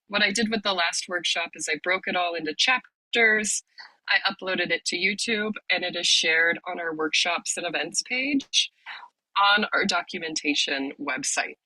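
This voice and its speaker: A woman with a bright, forward voice leads an online workshop over a video call, a touch hoarse from a cold. She explains things in a friendly way and fills pauses with ums, and the sound is close and dry with no room around it. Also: on the low side, no room sound, poor audio. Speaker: workshop leader, lab director.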